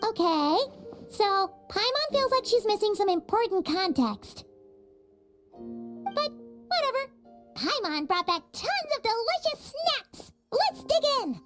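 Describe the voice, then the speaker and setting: A woman voicing a small, childlike flying companion in a video game, chirpy, excitable and bossy, with a squeaky voice and exclamatory delivery. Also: takes it slowly, faint music behind, exaggerated voice. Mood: cheerful. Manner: refers to self in third person, exclamatory. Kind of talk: video game character acting.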